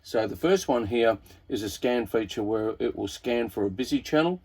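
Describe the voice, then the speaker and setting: A man's voice: mid-range voice, expressive, moderate pace, a little mellow, husky. An Australian man presenting a casual hobbyist product walkthrough in a laid-back, informal, slightly hesitant way.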